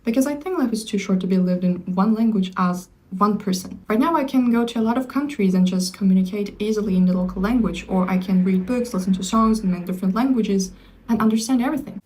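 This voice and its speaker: A woman's voice: medium pitch, fast, darkish tone, rough recording. A woman in her twenties from Turkey, giving a fluent and enthusiastic prepared monologue. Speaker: guest.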